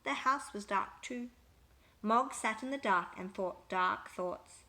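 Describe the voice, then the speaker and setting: A woman reading a children's picture book aloud with expressive, emphatic storytelling. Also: medium pitch, takes it slowly.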